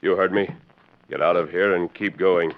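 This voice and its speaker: An actor playing a commanding, righteous masked cowboy hero in a 1940s radio western, with an authoritative, emphatic delivery and clipped orders. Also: male voice, low voice, steady tone, normal speed. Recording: noisy lo-fi 1940s radio recording.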